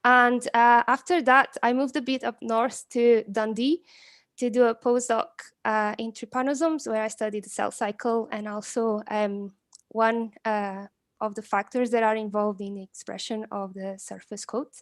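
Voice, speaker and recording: A woman presents informally at an online conference. Her voice sits a bit high and the sound is very dry. She is chatty, with some hesitation as she phrases things. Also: fairly even intonation, normal speed, no echo. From Portugal. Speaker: guest speaker, postdoctoral researcher. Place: online live stream, video call.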